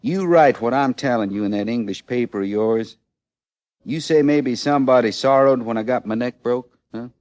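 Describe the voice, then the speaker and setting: An actor in old-time radio western style playing a condemned American outlaw in his thirties, with a rustic, halting Western drawl and a rueful, plainspoken manner. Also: medium pitch, a little mellow, dry recording, highs cut off, lightly acted. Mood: sorrowful, pleading. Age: 30s. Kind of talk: mid-century American radio western acting.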